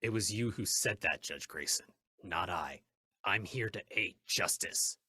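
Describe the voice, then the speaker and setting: An actor playing a stern, righteous masked crime fighter in an old-time radio drama, with formal, deliberate, commanding phrasing. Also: male voice, deep voice, expressive, bright, forceful tone, breathy edge, full character voice. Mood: stern, probing.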